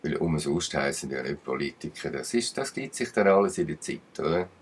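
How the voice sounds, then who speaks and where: A man's voice: rumbling low, expressive, fairly quick, breathy edge. A man with a Swiss German accent giving rambling commentary, emphatic and digressive, with uneven bursts. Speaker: channel commentator.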